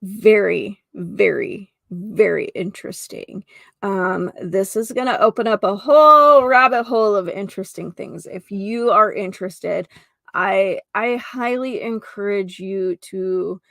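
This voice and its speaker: A woman's voice: medium pitch, unhurried, gravelly, a bit bright, no echo. A woman hosting an informal spiritual teaching podcast, explaining earnestly with emphatic repetition, partly reading from notes. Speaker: podcast host. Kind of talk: informal spiritual lesson, part read from notes.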